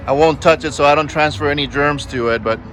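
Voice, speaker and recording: A man's voice: fairly high pitch, fast, over music. A man hosting a car vlog, chatting casually with informal enthusiasm. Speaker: car channel host.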